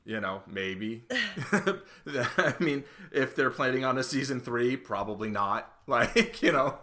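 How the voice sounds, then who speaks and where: A man's voice: mid-range voice, lively intonation, slow pace, pushed and bright, slight background noise. A man, talking casually as a co-host on a TV discussion podcast.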